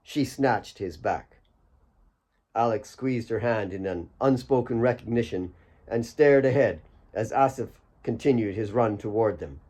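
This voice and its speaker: A man's voice: lowish voice, unhurried, dark tone. A man reading his own fiction as a scripted audiobook narration.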